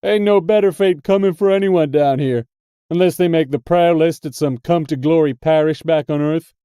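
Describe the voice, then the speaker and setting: Man doing a high, slobbery American street hustler. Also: normal speed, warm tone, smooth, no room sound, studio quality, lightly acted. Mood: sullen. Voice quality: slobbery, mouth-full diction. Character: scrawny, slobbery male street hustler, shifty and whiny.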